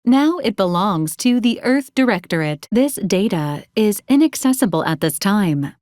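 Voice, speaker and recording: A female robot assistant from a video game, acted by a woman, politely officious and literal. She delivers formal advisories in a clipped, deadpan manner at a middling pitch. The sound is dry, with no room around it. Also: normal speed, dry recording, close to an everyday voice. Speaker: robot companion giving mission advisories. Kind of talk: scripted video game character lines.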